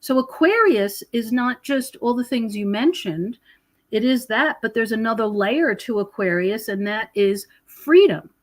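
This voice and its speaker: An American woman with a somewhat high voice talks as a guest in a podcast discussion. She explains her views conversationally and leans on key words for emphasis. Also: lively intonation, unhurried. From United States. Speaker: podcast guest, researcher of prophecy and UFOs.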